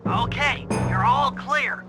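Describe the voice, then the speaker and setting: A man's voice: very high-pitched, takes it slowly. A man voicing a nerdy young turtle tech genius in a video game, with a thin nasal voice and a precise, wordy, anxious manner. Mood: explaining plan. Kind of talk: video game cartoon voice acting. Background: music.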